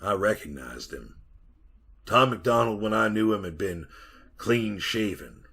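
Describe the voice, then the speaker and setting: A man's voice: fairly low pitch, animated, pushed and bright, heavily acted. An actor playing a haunted, weary man recounting an eerie ordeal in old-time radio horror style. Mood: hushed, wondering. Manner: slow, brooding first-person storytelling. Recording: clearly noisy radio drama recording.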